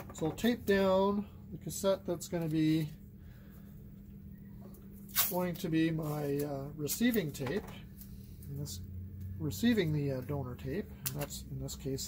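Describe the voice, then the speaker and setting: A middle-aged man giving a casual, unscripted workbench tutorial, thinking aloud haltingly as he works with his hands. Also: fairly high pitch, background noise. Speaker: hobbyist demonstrating cassette repair. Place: indoors at a wooden workbench.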